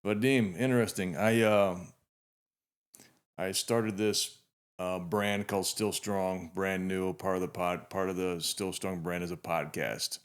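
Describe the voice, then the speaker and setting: A man in his fifties hosting a casual podcast interview, talking in a relaxed, rambling way with frequent restarts. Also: deep voice, unhurried, clear, resonant tone. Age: 50s. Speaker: podcast host.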